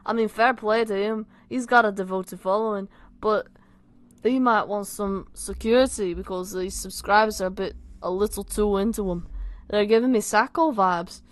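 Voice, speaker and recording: A woman's voice: medium pitch, moderate pace, no room sound, natural voice. Woman putting on a blunt young Liverpool lad, wobbly accent. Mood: blunt gossip. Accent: wobbly put-on Liverpool. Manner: blunt, sweary, mock-dramatic. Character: crude, exasperated young British men in banter.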